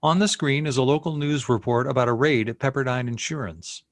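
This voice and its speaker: A man's voice: medium pitch, fairly quick, no room sound, clean studio sound. A man reading out scene headings and stage directions as narrator of a screenplay.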